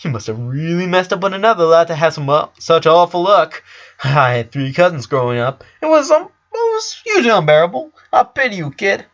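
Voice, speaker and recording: A man's voice: a little high, sing-song, fairly quick, fairly bright tone, lo-fi sound, voice pushed up. A man voicing a blunt, glib doctor in a story reading, lecturing in a rambling, sarcastic way. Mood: sardonic, rambling. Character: glib, blunt, morbidly curious male doctor.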